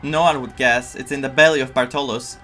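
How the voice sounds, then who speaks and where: Man reacting to a game, mid-pitched. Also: steady tone, fairly quick, bright, forceful tone, soft background music. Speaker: gaming streamer. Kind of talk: casual reaction commentary over a story game.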